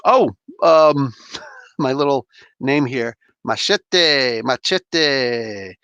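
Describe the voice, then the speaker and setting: A man's voice: medium pitch, drawn-out words, a bit bright, clean tone, no echo, highs cut off. A man in his thirties from New Jersey, hosting a casual livestream, rambling and slangy as he reads chat and opens toys. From New Jersey, USA. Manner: laid-back, rambling, laughs often, slangy. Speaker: livestream host.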